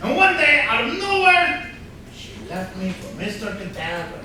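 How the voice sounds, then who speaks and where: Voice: mid-range voice, very animated, normal speed, sharp tone. An actor playing a bitter, flamboyant jilted man in a comedy stage reading, theatrical and stammering with gleeful spite. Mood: petty, theatrical. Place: room at a live staged reading. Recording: distant, echoey room with faint noise.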